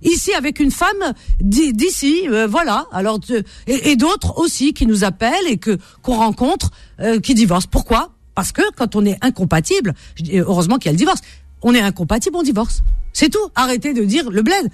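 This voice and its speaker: A woman's voice: fairly high pitch, expressive, a little fast, dry recording, faint music behind. A woman hosting a radio call-in show, lecturing listeners insistently and repeating her points.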